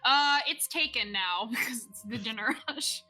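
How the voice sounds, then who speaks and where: A woman's voice: a little high, expressive, normal speed, bright, forceful tone, faint music behind, poor audio. A woman running a tabletop game who recaps the story and chats casually with her players, laughing easily. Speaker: game master. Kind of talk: recap narration and casual play.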